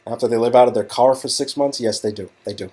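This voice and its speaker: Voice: mid-range voice, quick pace, mellow, faint music behind. A co-reader of a story game bantering playfully with exaggerated mock disbelief.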